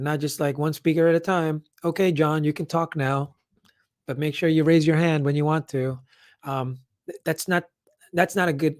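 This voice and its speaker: A man with a hoarse, worn-out voice hosting a casual live Q&A, rambling with lots of fillers and restarts as he answers comments. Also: fairly high pitch, dry recording, studio quality. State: hoarse, losing his voice. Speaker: event organizer hosting a live update. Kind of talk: casual livestream Q&A.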